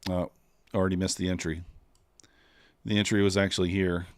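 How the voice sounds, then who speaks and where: A man's voice: sits low, level tone, moderate pace, no room sound, studio quality. A man streaming live, chatting casually and thinking aloud with many fillers as he trades. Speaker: streamer trading futures live.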